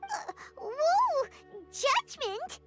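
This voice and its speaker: A woman voicing a tiny, excitable, cheeky fairy companion in a video game, with a squeaky childlike voice. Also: extremely slow, loud music behind the voice, heavily acted. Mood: flustered. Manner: exclaiming, speaks of self in third person.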